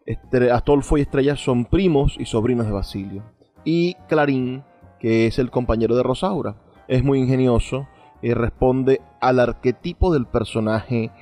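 A man's voice: mid-range voice, darkish tone. Male radio host with music behind him. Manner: explanatory, addressing listeners. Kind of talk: literary commentary introducing a recorded play.

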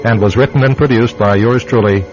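A man's voice: mid-range voice, brisk. A man hosting a radio drama, reading scripted closing credits as its announcer. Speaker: host, writer and producer reading credits. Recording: muffled radio recording under music.

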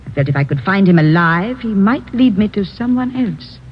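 An actress playing a determined, coolly polite foreign correspondent in an old-time radio drama, formal and insistent. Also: female voice, mid-range voice, expressive, normal speed, lightly acted. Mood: earnest, intense. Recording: dull, noisy old radio recording.

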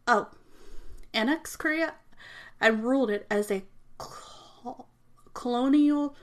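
A woman whose first language is not English, reading an informational text aloud with frequent stumbles and self-corrections. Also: on the high side. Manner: apologetic about mispronouncing names. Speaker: reader presenting a text.

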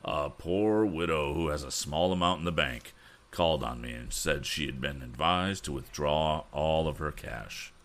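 Man reading aged bank president, weary, grave, measured, very low. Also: a bit bright.

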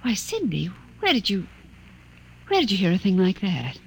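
An actress playing a gentle young mother in an old radio drama, easily impressed. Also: medium pitch, wildly swooping pitch, normal speed, slightly rough, exaggerated voice. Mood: soothing. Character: warm, fussing young mother. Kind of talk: 1950s radio drama acting.

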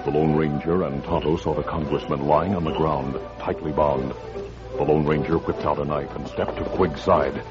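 A man's voice: rumbling low, fairly even intonation, normal speed. A man narrating a western adventure in a dramatic, scripted 1940s radio style. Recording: muffled old radio recording with music.

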